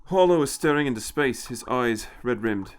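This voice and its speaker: A man's voice: medium pitch, expressive, normal speed. A man reading a scripted short story aloud as its narrator.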